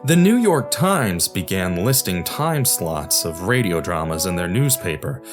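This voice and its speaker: A man's voice: deep voice, big pitch swings, measured pace, over music. A man hosting a podcast, reading scripted narration with wry, informal asides. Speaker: podcast host.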